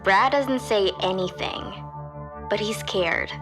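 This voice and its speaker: A young woman playing a nervous high school girl who tells her story in a casual, hesitant way, as a confessional audio drama monologue. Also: slow pace, music in the background, close to an everyday voice. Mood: resigned, reluctant.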